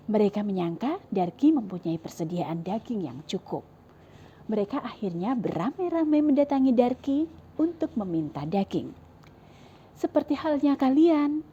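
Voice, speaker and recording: Brief: woman storyteller for kids, lively sing-song reading, mellow slightly husky voice. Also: medium pitch, highs cut off. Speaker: children's storyteller. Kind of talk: reading a children's story aloud. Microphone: clip-on mic.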